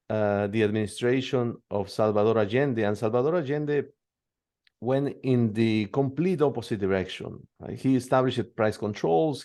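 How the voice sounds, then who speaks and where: A man from Peru with a tongue, answering interview questions in a hesitant way, full of pauses and restarts. His voice sits low and has a gravelly edge. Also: dark tone. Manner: hesitant, many fillers and restarts. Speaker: guest expert.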